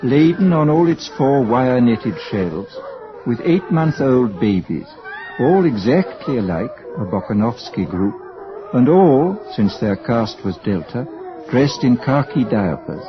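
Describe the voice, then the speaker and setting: Animated male radio drama narrator, dull recording. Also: mid-range voice, unhurried, a crowd in the background.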